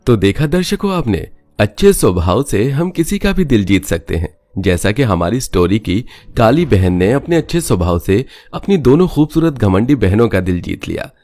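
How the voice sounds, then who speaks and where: A man's voice: fairly low pitch, sing-song, normal speed, few pauses, dark tone. A man narrating a scripted moral story as a storyteller. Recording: music bed behind voice.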